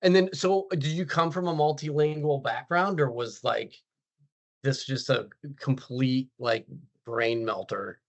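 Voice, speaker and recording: A man's voice: medium pitch, fairly even intonation, measured pace. An American man hosting a podcast, joking easily and talking loosely with many fillers. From USA. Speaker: podcast host. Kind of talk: casual interview chat.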